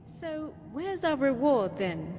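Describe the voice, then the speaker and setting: A woman's voice: sits high, very animated, takes it slowly, long reverb tail, noisy background, heavily acted. A woman voicing a spirited, outspoken young elf adventurer in a fantasy video game. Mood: indignant.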